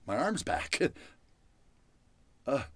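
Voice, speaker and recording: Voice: extremely slow, dry recording. A performer playing a frightened, flustered captive of robots in old-time radio horror style, stammering and pleading. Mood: nervous, flustered.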